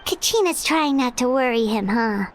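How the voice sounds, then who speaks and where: A woman voicing a tiny, excitable, chatty fairy companion with a squeaky childlike voice in a video game. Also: moderate pace, a little hiss or hum, lightly acted. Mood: musing. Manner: refers to self in third person, exclamatory.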